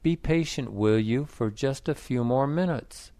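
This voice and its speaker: A man voicing an exasperated, sarcastic husband, lifting his pitch on questions. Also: unhurried, dark tone, no echo, close to an everyday voice.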